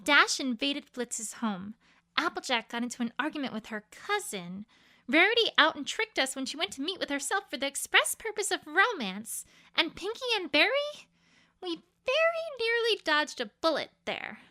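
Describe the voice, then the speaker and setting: Woman voicing a bright, high-pitched anxious young unicorn. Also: big pitch swings, moderate pace, exaggerated voice. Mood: uneasy. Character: anxious young female unicorn, guilty and earnest. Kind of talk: acted audio story dialogue.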